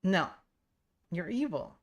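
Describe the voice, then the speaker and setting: A woman live-streaming a game in a lowish voice with lively intonation. She reacts casually, dropping in short informal comments as the story goes on. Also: slow pace. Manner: informal, interjecting.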